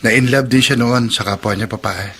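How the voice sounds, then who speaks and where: An actor playing a sincere, forgiving young boyfriend with a mildly preachy manner in a Filipino radio drama. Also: male voice, mid-range voice, steady tone, fairly quick, a bit bright, slight background noise, close to an everyday voice. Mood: calm, earnest. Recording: music bed under the voice.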